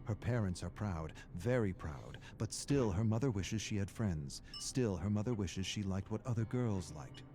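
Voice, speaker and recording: A man performing as a solemn storyteller, narrating a video game's character stories in measured, dramatic phrases. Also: on the low side, animated, mellow, slight background noise, natural voice.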